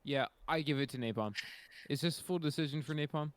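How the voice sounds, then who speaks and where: A man chatting casually as a podcast panelist, agreeable and quick to concede a point. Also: fairly high pitch, a little hiss or hum. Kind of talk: casual chat.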